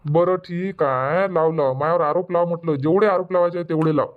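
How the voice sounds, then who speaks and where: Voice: mid-range voice, steady tone, a little fast, phone-quality sound, lightly acted. An actor voices a bickering village husband for a comedy cartoon. He speaks rural Vidarbha Marathi in an emphatic, insistent way, with a sarcastic edge. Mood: sarcastic, grumbling. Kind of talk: cartoon comedy dub dialogue.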